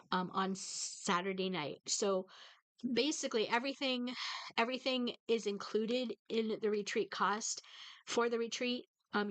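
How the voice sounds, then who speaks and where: A woman's voice: mid-range voice, fairly bright tone, no room sound, low-quality recording. Stuffed up woman rambling to her viewers, lots of ums, dry close sound. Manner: rambling, many fillers and restarts. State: stuffed up from a head cold; tearful. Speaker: cross stitch vlogger. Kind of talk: casual chat to viewers.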